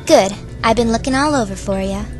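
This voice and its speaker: A woman's voice: on the high side, brisk, a little mellow, natural voice. A woman playing a tough, mischievous small girl from a twilight world in a fantasy radio play, blunt and demanding. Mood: smug, demanding. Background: music.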